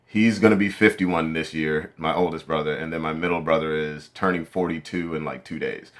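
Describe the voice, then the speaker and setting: A man's voice: a bass voice, steady tone, a little fast. A man in his thirties hosting a podcast, chatting casually and joking, often laughing and acting out what people said. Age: 30s. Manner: joking, laughs often, acts out quoted voices. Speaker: podcast host. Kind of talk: casual chat.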